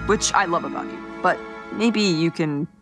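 A woman's voice: fairly low pitch, moderate pace, lightly acted. A woman voicing a blunt, protective young demon in a cartoon, with a dry, direct manner. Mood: coaxing. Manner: dry, matter-of-fact, direct. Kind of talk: animated series dialogue. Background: music.

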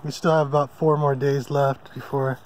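A man hosting a casual camping vlog from a mountain meadow, talking in a relaxed, rambling way with many ums and uhs. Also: medium pitch, normal speed, a little hiss or hum. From Hawaii. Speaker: vlog host. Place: open mountain meadow campsite outdoors.

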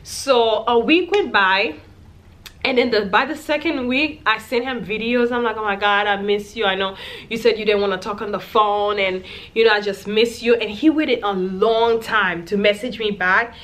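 A woman's voice: mid-range voice, normal speed, fairly bright tone. Young woman vlogger, casual storytime to camera in a small room, candid and chatty. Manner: chatty, candid, lots of "like" and "you know".

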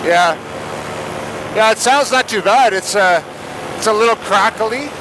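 High-pitched man on crackly, noisy intercom. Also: a little slow. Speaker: fellow paramotor pilot. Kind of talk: casual intercom chat. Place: outdoors, in flight. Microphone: helmet headset intercom. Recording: crackly intercom with engine noise.

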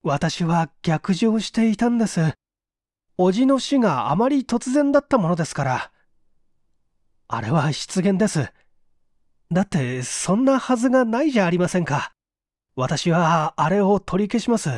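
A man voicing an anxious, flustered gentleman in a mystery story, earnest and defensive. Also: high voice, very animated, moderate pace, no echo, studio quality.

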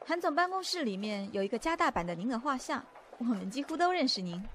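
A woman acting a composed secretary in an audio drama. Her voice is high, polite and formal, with faint ambient noise behind it. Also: sing-song, moderate pace, fairly bright tone. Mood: polite, firm. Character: polite, composed female secretary, firm and slightly amused. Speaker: voice actor in an amateur audio drama. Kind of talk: acted audio drama dialogue.

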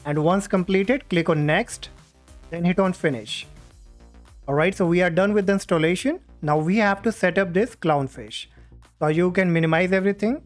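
A man hosting a step-by-step software tutorial, speaking into a USB condenser microphone. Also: sits high, normal speed. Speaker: tutorial host. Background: music.

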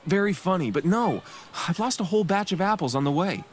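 Put-upon farm boy, teenage, friendly, fast-talking, video game dialogue, faint noise. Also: high-pitched, lively intonation. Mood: sheepish, wry.

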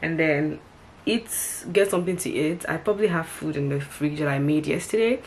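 A woman's voice: low-pitched, normal speed, background noise. A woman in her twenties chatting casually to camera in a vlog, talking to her viewers as "guys" while showing things around her small bedroom. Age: 20s. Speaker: vlogger. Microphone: camera mic at arm's length and across the room.

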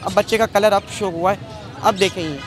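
Fast-talking young man selling birds. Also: high-pitched, steady tone, music in the background. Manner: eager, quick sales patter. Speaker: bird seller. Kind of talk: sales pitch to a vlogger. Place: busy outdoor bird market. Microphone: handheld mic. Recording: noisy crowded outdoor market. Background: crowd chatter.

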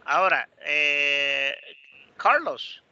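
A man's voice: on the high side, lively intonation, drawn-out words, bright, forceful tone, clean tone. A man teaching English online, explaining a dialogue and often repeating words as he searches for the next. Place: online video class, close dry mic. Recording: dull lo-fi online class audio.

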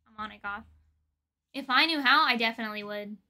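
A young woman talks casually to her livestream viewers. Her voice is very high and bright. She is playful and expressive, with plenty of slang in an informal, chatty flow. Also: brisk. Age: young adult. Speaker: livestream host. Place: indoor room with desk and shelves of collectibles.

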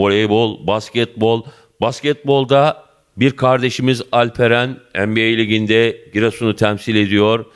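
A man in his fifties interviewing a student in a school office, effusive and warm in address, holding a handheld mic. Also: mid-range voice. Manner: effusive, addresses viewers and guest as "dear". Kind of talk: local TV interview.

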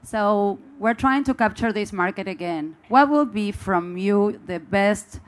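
A woman's voice: medium pitch, expressive, a little slow. A woman from Argentina stands in the audience of a large conference hall and asks a question into a handheld mic. She is polite and searches for her words as she goes. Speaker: audience member asking a question.

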